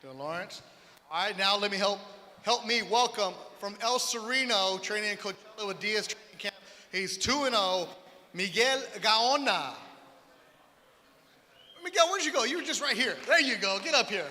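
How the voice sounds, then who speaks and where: A man hosting a boxing press conference, introducing fighters with energetic, hype announcing. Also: sits high, normal speed. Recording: reverberant hall with faint background noise.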